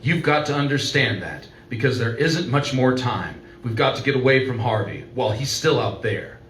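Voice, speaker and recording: A man's voice: medium pitch, animated, normal speed, fairly bright tone, natural voice. A man playing an earnest doctor in an old-time radio play, pleading insistently and dramatically. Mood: urgent pleading. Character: earnest, urgent male doctor pleading with a frightened woman. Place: live performance venue, indoors. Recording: roomy, distant live-stage recording, faint noise.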